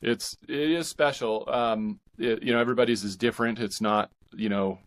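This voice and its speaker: An American man in his forties chatting casually as an interview guest, thinking aloud in a halting, enthusiastic way. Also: mid-range voice, steady tone. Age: 40s.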